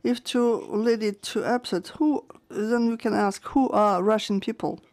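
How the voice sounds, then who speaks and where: A woman interpreting a panel discussion live, halting and searching for words with frequent restarts. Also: a little high, a little mellow.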